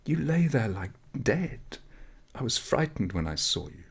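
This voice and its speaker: A man's voice: medium pitch, very animated, unhurried, vocal fry, husky, voice pushed up, heavily acted. A man reading a storybook, voicing a kind, curious, slightly anxious village girl who speaks earnestly. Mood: concerned, curious. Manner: urging, questioning, earnest.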